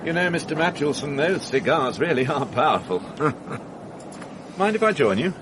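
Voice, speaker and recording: A man's voice: on the high side, expressive, measured pace, noisy background. An actor playing a blunt, irritable male police inspector in a radio mystery drama, questioning people in a brusque, probing way. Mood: measured, probing.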